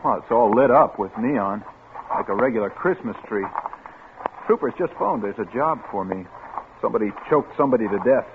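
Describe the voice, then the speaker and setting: A man's voice: medium pitch, expressive, normal speed, close to an everyday voice. An actor playing a chatty, helpful small-town local man, folksy and matter-of-fact, in a 1950s radio suspense drama. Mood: chatty, excited. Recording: noisy, tinny vintage radio recording.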